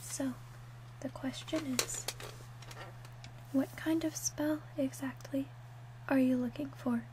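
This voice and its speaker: Hushed, high-voiced woman playing a witch. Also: fairly even intonation, slight background noise, low-quality recording. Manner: slow, gentle, hushed, deliberate delivery. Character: calm, helpful female witch selling love potions. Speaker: ASMR roleplayer. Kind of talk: ASMR roleplay, soft-spoken and whispered.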